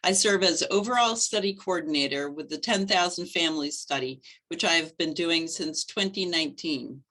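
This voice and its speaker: A middle-aged woman, a study coordinator, giving a clear, steady scripted presentation on a webcam from her living room. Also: on the low side, moderate pace, poor audio.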